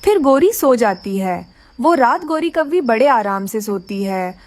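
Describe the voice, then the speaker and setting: A woman narrator reads a scripted children's story. Her voice is high and very animated in pitch, recorded close and dry. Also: unhurried, no room sound.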